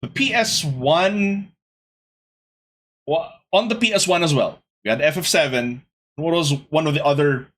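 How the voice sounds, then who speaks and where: Young male podcast host, slightly high, husky delivery, animated casual rant to his chat. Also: a little slow. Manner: animated, exasperated ranting with laughs.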